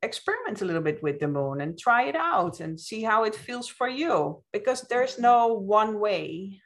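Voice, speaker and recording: A woman's voice: mid-range voice, big pitch swings, normal speed. A woman from the Netherlands with a Dutch accent, speaking reflectively and explaining things as a guest in a conversational interview. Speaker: podcast guest, witchcraft author.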